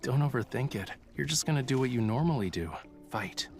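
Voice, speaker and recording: A man's voice: mid-range voice, expressive, a little fast, darkish tone, soft background music, close to an everyday voice. A man voicing a cocky, whimsical young painter in a video game, with a dry, playfully complaining delivery. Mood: casual, teasing.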